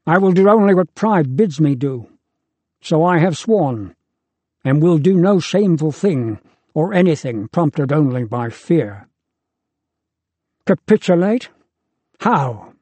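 A man's voice: fairly high pitch, lively intonation, takes it slowly, long pauses, warm tone, dry recording. A man voicing a proud, defiant male angel, speaking in a lofty, contemptuous, declamatory way. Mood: defiant, scornful. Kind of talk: audiobook character reading.

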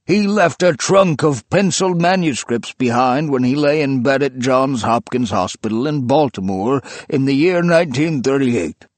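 A man doing a wild-eyed old rich man, voice pushed up high and loud, fierce and rapturous, drawing out the big words slowly and swinging his pitch all over the place. it sounds close and dry, no room at all. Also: no room sound. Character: feverish, imperious old male book collector.

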